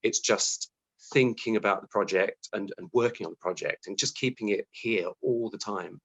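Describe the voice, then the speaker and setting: A man's voice: on the low side, moderate pace, a bit bright, husky, poor audio. A British man answering interview questions, talking reflectively and conversationally about his own working life, often acting out his thoughts. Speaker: interview guest, TV writer.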